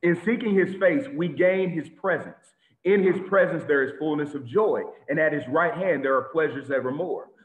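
A man preaching an animated sermon to a congregation over a video call, with lots of repetition and sudden shouts. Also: on the high side, normal speed. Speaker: teacher of a congregation. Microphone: video call webcam. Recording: tinny phone-like echoey audio.

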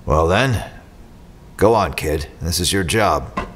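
An actor playing a shrewd, cocky mercenary in an audio drama, sardonic and confident. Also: male voice, sits low, expressive, slow, fairly bright tone, slight background noise, heavily acted. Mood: coolly confident.

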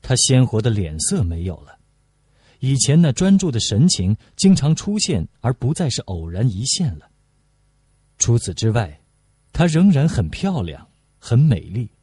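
A man's voice: medium pitch, big pitch swings, a little slow, mellow, husky, dry recording. A man reading a novel aloud as a scripted audiobook narration.

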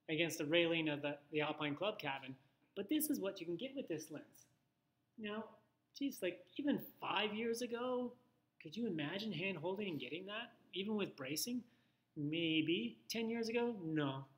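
A man gives an informal instructional talk as a photographer. His voice sits a little higher than most men's. He talks conversationally, thinking aloud and adding asides as he explains. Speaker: photographer giving a presentation.

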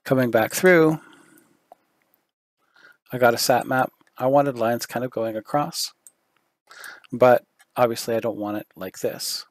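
A man giving a screen-recorded software tutorial, thinking aloud with fillers, his voice going hoarse. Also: mid-range voice, steady tone, unhurried, pauses often, no room sound, studio quality. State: losing his voice, throat clearing.

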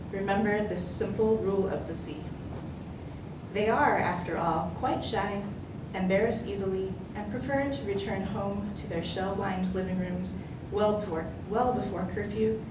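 Young female reader, soft casual delivery, far mic, reverberant room with rumble. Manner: soft, casual, a little hesitant. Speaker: poet at open mic. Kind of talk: poetry reading. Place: library meeting room, at a podium. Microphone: stand mic, far camera. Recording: echoey room, distant mic, audible rumble.

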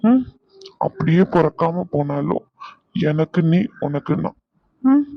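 Anguished tender husband, male actor, domestic drama; soothing, pleading, creaky voice, muffled lo-fi recording. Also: on the high side, sing-song, slow, no room sound, full character voice. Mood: tender, pained. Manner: pleading, soothing, repeats endearments. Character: anguished, tenderly consoling husband comforting his crying wife. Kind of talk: emotional domestic audio drama.

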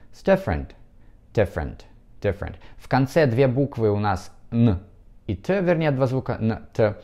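A man in his thirties with a Russian accent, teaching English pronunciation to camera on a lapel mic in a bright room. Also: on the low side. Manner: explains slowly, switches between languages, models pronunciation. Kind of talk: video lesson to camera.

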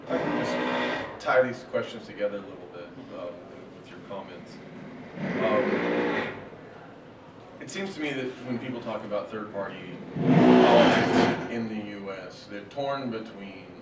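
Measured low-voiced man, noisy far room. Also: sing-song. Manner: measured, hesitant, with many uh and you know. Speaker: workshop moderator. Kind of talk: academic question at a workshop. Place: meeting room at a table. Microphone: far camera. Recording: echoey room from far off, loud noise.

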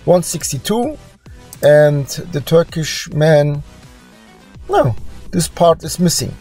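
Guy w non-native english accent, kinda high voice, slow n halting explainer, music behind. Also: mellow. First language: not English. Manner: halting, searching for words. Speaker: host presenting DNA results. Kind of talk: informal screen-share commentary.

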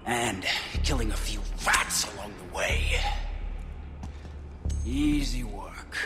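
An actor playing a smug middle-aged army major villain in a video game, taunting with drawn-out menace. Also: male voice, on the low side, expressive. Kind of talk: video game cutscene acting. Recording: loud music behind. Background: loud music.

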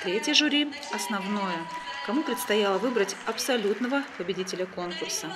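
A woman's voice: mid-range voice, fairly quick, loud music behind the voice. A woman reading scripted news narration as a television reporter.

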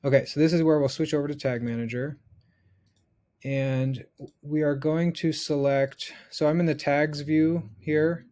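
A man in his thirties giving a casual software tutorial, informally thinking aloud as he goes step by step. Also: a little high, fairly even intonation, measured pace. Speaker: instructor.

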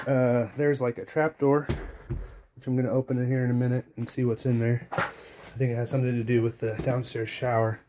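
A man's voice: medium pitch, dark tone, slight background noise. A middle-aged man giving a casual, offhand walkthrough of a small house, thinking aloud as he films on his phone while walking from room to room. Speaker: tour guide. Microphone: phone held while filming.

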